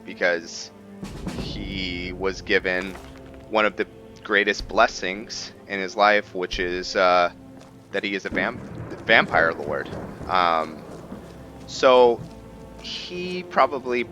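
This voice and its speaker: Low-voiced man musing over roleplay gameplay. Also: pushed and bright. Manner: musing, thinking aloud with frequent hesitations. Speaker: gaming video host. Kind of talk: casual roleplay gameplay commentary.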